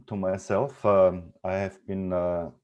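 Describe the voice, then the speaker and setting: A man's voice: low-pitched, flat delivery, slow pace, darkish tone, clean tone. A man from Austria, a researcher, introducing himself hesitantly in an online talk, with many fillers. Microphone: video call mic. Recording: dull lo-fi video call audio.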